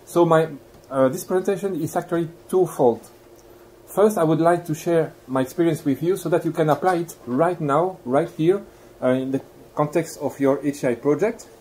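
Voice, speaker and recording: A man's voice: a little high, moderate pace, darkish tone, rough recording. A man with a Belgian accent giving a university lecture, courteous and explanatory, putting questions to his listeners. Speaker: guest lecturer. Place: lecture room. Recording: live lecture recording with clear background noise.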